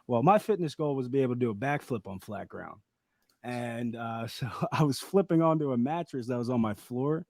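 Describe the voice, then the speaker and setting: A man with a slightly raspy voice, a podcast guest rambling through a personal story in casual chat with lots of fillers. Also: medium pitch, lively intonation, brisk, darkish tone, dry recording, clean studio sound. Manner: rambling, laid-back storytelling with many fillers.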